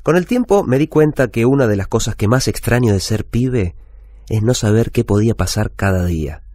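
A man's voice: medium pitch, expressive, normal speed, a little mellow, dry recording, close to an everyday voice. An actor playing a wistful forty-year-old bookshop owner with a Rioplatense Argentine accent, narrating his routine life in a reflective radio drama. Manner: reflective, storytelling, self-correcting. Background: music bed.

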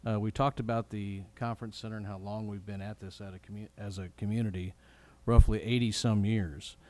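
A man's voice: fairly low pitch, flat delivery, a little fast, a little mellow. A man presiding over a formal public meeting, putting questions to others and giving remarks. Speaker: presiding chair of a city council meeting.

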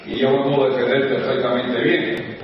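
A man's voice: medium pitch, flat delivery, moderate pace. A man in his sixties from the United States giving a chatty, joking business talk to a large audience. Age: 60s. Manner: chatty, joking, switches between Spanish and English. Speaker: business speaker. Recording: distant, echoey hall recording, dull sound.

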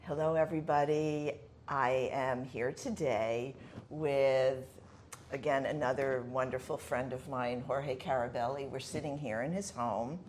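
A woman's voice: a bass voice, steady tone, a little slow, a little mellow, slight background noise. A middle-aged woman hosting an interview show, chatting eagerly with her guest in his living room and jumping in often. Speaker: show host. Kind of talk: friendly interview chat.

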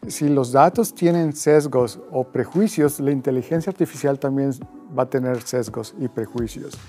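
A man in his fifties answering interview questions about technology in a measured, deliberate way. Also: mid-range voice, dark tone, soft background music. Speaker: tech expert.

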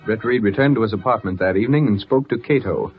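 A man's voice: fairly low pitch, fast. A man with an American accent reading scripted narration as the announcer of an old radio drama. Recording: muffled vintage radio recording over music.

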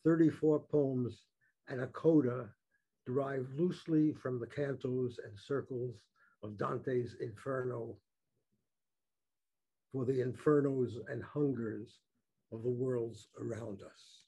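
An older man on a home video call, reading his poems in a deliberate recitation after a halting introduction. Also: medium pitch, steady tone, mellow, slightly rough, low-quality recording. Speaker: poet reading his work. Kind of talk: poetry reading. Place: home room.

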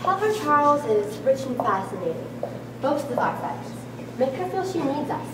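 A woman's voice: high-pitched, sing-song, normal speed. A young woman in an amateur stage play, playing a wife's raw, passionate, bitter inner self with emphatic, agitated delivery. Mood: excited. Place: large school hall with small audience. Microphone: far camera. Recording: echoey hall, distant camera, loud room noise.

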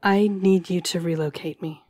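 Husky, brooding werewolf woman, acted by a woman. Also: lowish voice, steady tone, normal speed, darkish tone, dry recording, studio quality, lightly acted. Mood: tense, guilty. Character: brooding, guilt-ridden young female werewolf, stubborn and self-loathing. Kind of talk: dramatic audio roleplay acting.

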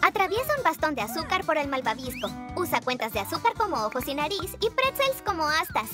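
A woman giving clear, instructive narration for a children's cartoon. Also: high-pitched, very animated, fairly quick. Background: music.

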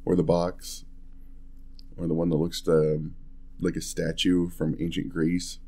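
Man acting a deep-voiced, affectionate young husband. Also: monotone, measured pace, slightly rough, exaggerated voice. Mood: playful, admiring. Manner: soft, hesitant, chuckling, tender. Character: affectionate, playful young husband on Christmas morning. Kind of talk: ASMR boyfriend roleplay, intimate casual chat.

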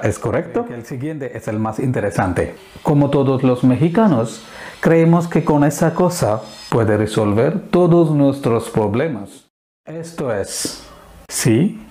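A man in his thirties from Kerala in India, a native Malayalam speaker with an Indian accent, presenting to camera in a second language with halting, deliberate phrasing. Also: mid-range voice, mellow. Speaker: video host. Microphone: clip-on lapel mic.

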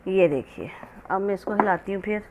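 A woman's voice: mid-range voice, brisk, muffled recording. A woman giving a casual, step-by-step recipe narration as a home cook, in a conversational, instructional manner. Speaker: home cook hosting a cooking channel. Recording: clear background noise, kitchen ambience.

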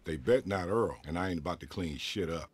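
A man playing a gruff, easily irritated studio engineer in a video game, with an American accent and slangy, scolding, dismissive talk. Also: deep voice, a little fast. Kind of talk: video game cutscene acting.

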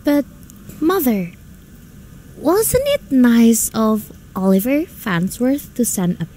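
Woman acting a high-voiced, mild young daughter. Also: very animated, slow, warm tone, slight background noise. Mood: timid, sweet. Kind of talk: radio drama acting.

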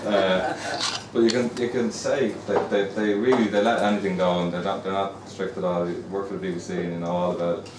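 Deep-voiced Dublin man in a pub. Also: steady tone, fairly quick, heavy background noise. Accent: Dublin Irish. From Ireland. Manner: chatty, rambling, repeats words. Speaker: fiddle player. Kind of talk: casual storytelling between tunes. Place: busy pub, indoors. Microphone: far camera. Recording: echoey pub from far off.

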